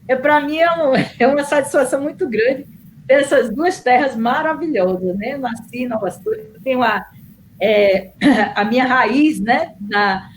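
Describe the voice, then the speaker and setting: A middle-aged woman, speaking in a slightly high voice, warm and reminiscent, pausing and restarting often. A faint hum of background noise sits under her voice on a video call. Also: rough recording. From Bahia, Brazil. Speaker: guest, nurse and city councillor. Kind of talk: casual interview chat.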